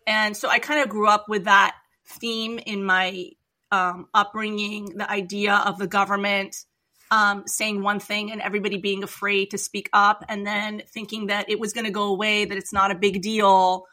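An Iranian-born woman physician, a guest on a podcast, speaking earnestly and in measured phrases. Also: medium pitch, little pitch movement, sharp tone. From Iran. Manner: earnest, measured, with light laughs. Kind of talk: reflective interview talk.

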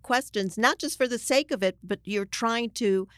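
A woman's voice: on the high side, normal speed, dry recording, studio quality. A woman journalist speaking as a guest in a conversational interview, earnest and emphatic as she makes her points.

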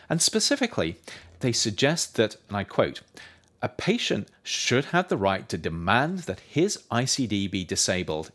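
A man reading scripted nonfiction as its author and narrator, in a measured, emphatic, explanatory manner. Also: fairly low pitch, fairly bright tone.

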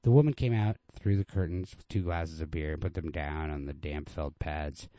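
A man reading a literary short story as narrator, in a measured, understated manner. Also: rumbling low, mellow, dry recording. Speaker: story reader.

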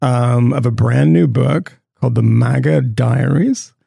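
Gravelly guy hosting a podcast, rambling jokey banter. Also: medium pitch, unhurried, warm tone. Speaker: podcast host. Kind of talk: casual chat.

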